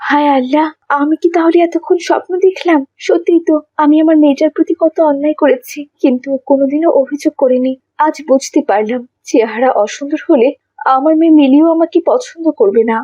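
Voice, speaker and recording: Woman playing distraught mother, cartoon drama, high pitch, muffled dry recording, pleading delivery. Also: fairly even intonation, fairly quick, dark tone, no echo, lightly acted. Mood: remorseful. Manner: emotional, pleading. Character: vain mother, distraught and then remorseful.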